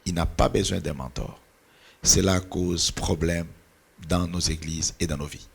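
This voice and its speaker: Low gravelly man with a Portuguese-speaking background. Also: fairly even intonation, unhurried. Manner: earnest, preaching cadence, searches for words. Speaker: pastor and mentor. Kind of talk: church talk on mentoring.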